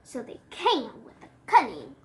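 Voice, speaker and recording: Very high-pitched, animated young storyteller. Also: normal speed, slight background noise. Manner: expressive, playful, with gasps and exclamations. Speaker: co-host reading a picture book aloud. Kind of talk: animated read-aloud storytelling with chatty asides.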